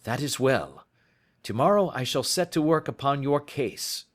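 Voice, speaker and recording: A man voicing a brisk, commanding Victorian detective in an audiobook, speaking precisely and emphatically. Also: mid-range voice, lively intonation, voice pushed up, lightly acted. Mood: concerned, decisive. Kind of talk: audiobook character voice.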